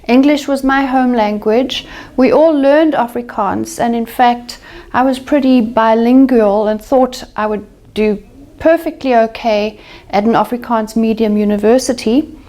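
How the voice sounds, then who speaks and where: A woman with a South African accent speaks briskly and clearly about her own experience. Her voice sits fairly high. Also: warm tone. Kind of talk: personal testimony.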